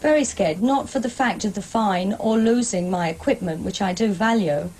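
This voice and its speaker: A woman's voice: medium pitch, normal speed, darkish tone, slight background noise. A woman who uses CB radio, answering in an interview.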